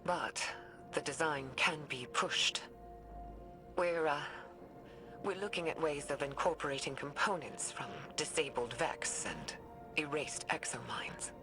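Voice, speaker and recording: An actress playing an anguished, guilt-ridden woman scientist dictating research logs in a measured, hesitant, breathy way, as in a dramatic video game. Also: sits low. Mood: weary, measured. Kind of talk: dramatic video game voice acting, recorded log. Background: music.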